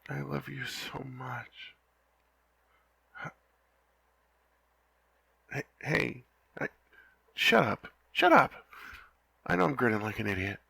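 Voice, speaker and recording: A young man playing a lovesick, flustered knight in an ASMR roleplay, talking softly and haltingly with frequent stammers. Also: medium pitch, lively intonation, gravelly, husky, no room sound, exaggerated voice. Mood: flustered, giddy. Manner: halting, stammering, soft-spoken.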